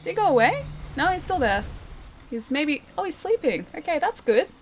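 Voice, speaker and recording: A woman's voice: high voice, sing-song, moderate pace, a little hiss or hum. A young Australian woman streaming a game, chatting casually and answering her viewers. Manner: chatty, upbeat, reads chat aloud and answers viewers. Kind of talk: casual live-stream chat while gaming. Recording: game music playing behind the voice.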